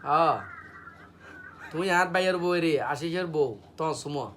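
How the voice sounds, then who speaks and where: A man's voice: fairly high pitch, fairly even intonation, moderate pace. Male prank caller, teasing and persistent, recorded with noticeable noise. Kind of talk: casual teasing phone chat. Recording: clearly noisy background.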